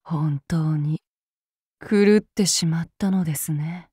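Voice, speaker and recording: Cold, unstable young samurai avenger for a game. Very slow, dry studio read. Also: female voice, low voice, husky, no room sound, exaggerated voice. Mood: measured. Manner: slow, measured, calm then suddenly fearful. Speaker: voice actress for a game character. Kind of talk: game character voice lines.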